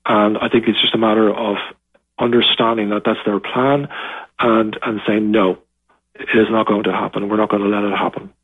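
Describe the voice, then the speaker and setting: A man from Dublin with an Irish accent, speaking as an interview guest in halting, restart-filled but emphatic talk. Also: fairly low pitch, flat delivery, slightly rough. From Ireland (Dublin). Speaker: guest, author. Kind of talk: remote interview, casual talk. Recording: tinny phone-like line.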